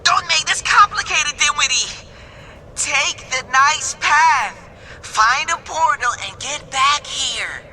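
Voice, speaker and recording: A woman's voice: sits high, lively intonation, slow, sharp tone, a little hiss or hum, full character voice. A woman voicing a sassy, impatient young Smurf in a cartoon video game, sarcastic and exasperated in manner.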